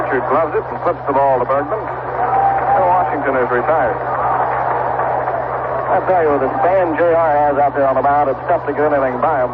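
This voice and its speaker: A man's voice: fairly high pitch, narrow, tinny audio. Male baseball announcer on noisy vintage radio. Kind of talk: live radio sports commentary. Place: outdoor ballpark.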